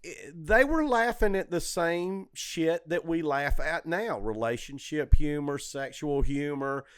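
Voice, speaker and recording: A middle-aged man chatting casually as a podcast host, telling stories in an animated, opinionated way and swearing freely. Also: on the high side, a little slow, clean tone, no room sound, clean studio sound. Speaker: comedy club owner and comedian, podcast host. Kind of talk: casual shop-talk chat.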